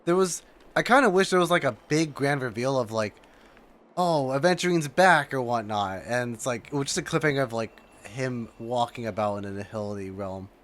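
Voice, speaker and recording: A man giving casual, rambling reaction commentary on a video game, with halting asides and exclamations. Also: mid-range voice, a bit bright.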